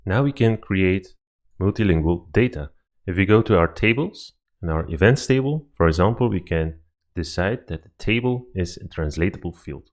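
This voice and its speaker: A man whose first language is Dutch, talking through a software platform step by step in a screen-recorded tutorial, with slightly non-native phrasing. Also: low-pitched, lively intonation, normal speed.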